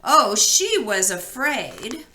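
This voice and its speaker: A woman reading a fairy tale aloud as an expressive storyteller, with deliberate dramatic emphasis. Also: a little high. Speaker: storybook reader.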